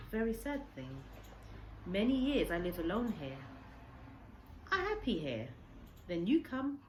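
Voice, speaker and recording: Woman acting sorrowful old man from Japan, storybook character, broken simple English, lively swooping pitch, roomy sound, faint noise. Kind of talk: children's storybook reading. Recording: echoey room, faint background noise.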